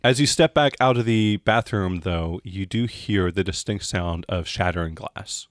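A man narrating as game master of a tabletop role-playing game in a conversational, halting way. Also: deep voice, dry recording, clean studio sound.

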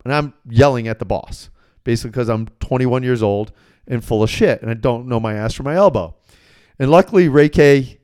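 Man, American accent, clear smooth voice, mid pitch, casual podcast host, blunt animated storyteller. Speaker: sports betting podcast host and basketball coach.

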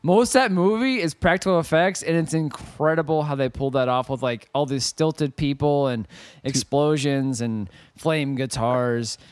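A man's voice: fairly high pitch, normal speed, smooth, dry recording. A man co-hosting a podcast and keeping time, tipsy, teasing and giggly. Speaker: podcast co-host and timekeeper. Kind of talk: casual debate chat.

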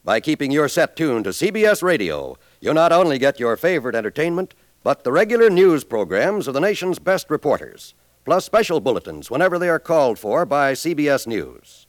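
A man's voice: on the high side, big pitch swings, a little fast, no echo. An American man announcing scripted network radio copy in a formal, polished 1950s style.

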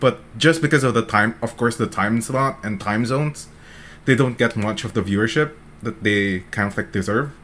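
A man's voice: mid-range voice, moderate pace, a bit bright, a little hiss or hum. A man from the Philippines hosting a casual streamer podcast, rambling through questions with many filler words. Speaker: podcast host. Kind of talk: casual chat.

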